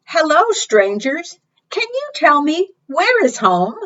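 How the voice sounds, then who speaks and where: Lady doing a tiny lost penguin kid from a storybook, high and plaintive, kinda muffled sound. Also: very animated, slow, a bit bright, full character voice. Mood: hopeful. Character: little lost penguin chick, earnest and plaintive.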